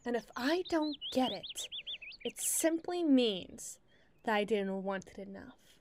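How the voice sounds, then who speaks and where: An obsessive lovesick girl, played by a woman, pleads and taunts in a dramatic audio roleplay. Her voice sits fairly high and moves very slowly, with a needy, masochistic devotion delivered theatrically. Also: lively intonation, background noise. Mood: menacing, coy. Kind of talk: dramatic ASMR roleplay monologue.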